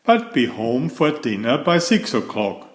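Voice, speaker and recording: A man's voice: medium pitch, very animated, unhurried. A man voicing a caring, cautioning mother turtle from a picture book, in an instructing motherly manner. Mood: agreeable. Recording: echoey room sound.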